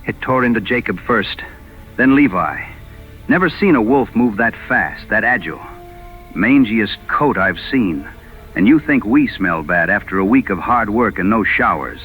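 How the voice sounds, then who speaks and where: A man playing a shaken, low-voiced frontier escort. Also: expressive, normal speed, phone-quality sound, faint music behind, lightly acted. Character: shaken, wounded rustic wagon escort. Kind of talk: dramatized audio western dialogue.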